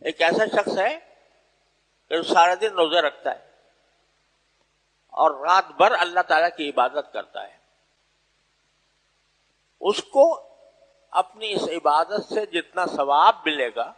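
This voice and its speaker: Male Islamic preacher, slightly high voice, deliberate phrasing with pauses, formal sermon delivery, long hall reverb. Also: fairly bright tone. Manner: deliberate, pausing between short phrases. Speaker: Islamic preacher addressing listeners. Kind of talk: sermon, unscripted religious exposition.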